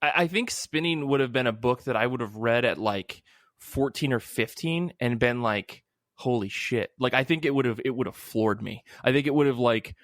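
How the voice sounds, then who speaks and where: A man's voice: medium pitch, moderate pace, a bit bright, no echo. Effusive man chatting on a dry-sounding podcast. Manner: effusive, emphatic, casually profane. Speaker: podcast host. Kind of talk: casual chat about comic books.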